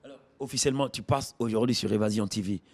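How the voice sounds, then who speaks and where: A man's voice: on the low side, fast talker. A man with a Guinean accent hosting a TV music show, interviewing an artist and addressing viewers in declamatory phrases. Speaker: TV show host. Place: at the artist's home.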